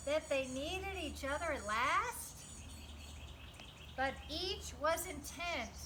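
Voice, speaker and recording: A woman reading a picture book aloud to children in an animated, teacherly way, with emphatic delivery and explanatory asides. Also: high-pitched, noisy background. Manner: animated, emphatic, teacherly, asks listeners questions.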